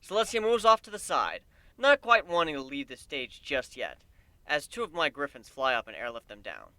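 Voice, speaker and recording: A man's voice: fairly high pitch, big pitch swings, moderate pace, sharp tone, no room sound. A man giving a dramatic first-person reading of a story as its narrator.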